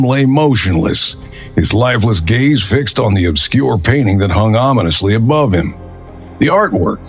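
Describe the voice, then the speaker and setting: A man narrating a crime drama from a script in a dramatic storytelling style. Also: mid-range voice.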